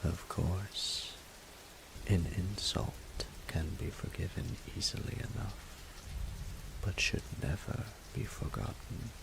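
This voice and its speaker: Deep, gravelly, imperious dragon ruler. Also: male voice, background noise, heavily acted. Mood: cold, imperious. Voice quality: breathy, gravelly half-whisper. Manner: haughty, formal, deliberate. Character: proud, imperious male dragon ruler, seductively menacing. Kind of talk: scripted romantic audio roleplay.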